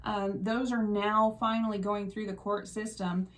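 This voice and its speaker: A woman's voice: mid-range voice, flat delivery, a little slow. Chatty woman radio host. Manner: chatty, laughs often. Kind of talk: casual radio interview.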